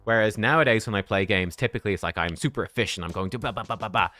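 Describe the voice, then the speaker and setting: A man's voice: fairly low pitch, racing, pushed and bright. A man in his thirties chatting casually with viewers on a game livestream, rambling and joking at his own expense. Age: 30s.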